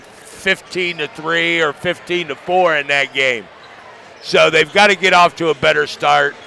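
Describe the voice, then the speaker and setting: A man's voice: fairly high pitch, gravelly, pushed and bright. An American man, a coach working as basketball analyst, giving pre-game analysis. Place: basketball gym. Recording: faint gym crowd and noise behind.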